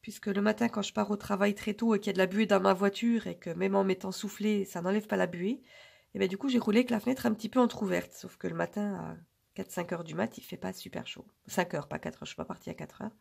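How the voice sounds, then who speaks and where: Hoarse, gravelly woman vlogging casually. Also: medium pitch, steady tone, a little mellow. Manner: chatty, rambling, many filler pauses. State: hoarse from a cold. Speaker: budgeting vlogger. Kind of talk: casual chat to viewers.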